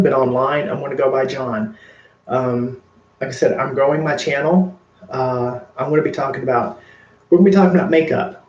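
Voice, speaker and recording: Gravelly, earnest man chatting on a livestream. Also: mid-range voice, darkish tone, roomy sound, highs cut off. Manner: rambling, earnest, filler-heavy. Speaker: makeup vlogger. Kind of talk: casual livestream chat to viewers.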